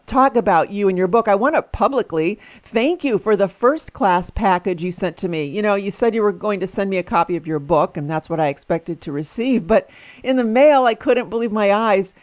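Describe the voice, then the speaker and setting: A woman's voice: mid-range voice, no echo. A woman hosting an interview, talking enthusiastically and laughing often as she introduces and questions her guest. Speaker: podcast host. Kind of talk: interview conversation.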